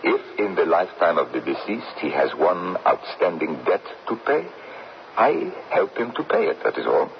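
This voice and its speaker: Very deep, gravelly, grave gravedigger. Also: male voice, very animated, moderate pace, exaggerated voice. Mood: solemn, resigned. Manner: solemn, formal, stern. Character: grave, guilt-ridden widowed gravedigger father who serves the dead. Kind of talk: old-time radio drama acting. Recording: dull, noisy old radio broadcast.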